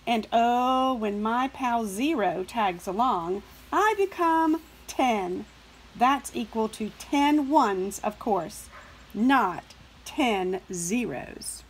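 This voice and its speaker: A woman's voice: a little high, expressive, very slow, slight background noise, low-quality recording. A woman voicing a vain, smug storybook number who boasts of being first.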